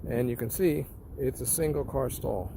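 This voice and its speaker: A man's voice: medium pitch, moderate pace, vocal fry, background noise. A man giving a casual narrated walkthrough as a tenant, explaining things plainly and repeating points for emphasis, recorded while walking around a parking lot.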